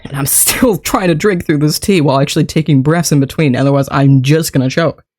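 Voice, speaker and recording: A chatty streamer who talks casually into a desk mic, rambling from one small thing to the next and laughing easily. Being a bit sick has made the voice sit deeper than usual, though the pitch stays in a middle range. The speaker keeps greeting and thanking people in chat between thoughts. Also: brisk, a little mellow. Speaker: game streamer.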